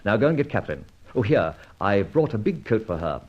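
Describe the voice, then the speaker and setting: Masked vigilante hero from old radio show, clipped n theatrical, bit raspy, muffled old recording. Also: male voice, lowish voice, very animated, a little fast. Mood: brisk, wry. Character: dashing masked vigilante adventurer, sardonic and commanding. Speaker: lead actor in a radio detective serial. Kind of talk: old-time radio drama acting. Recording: dull vintage radio recording.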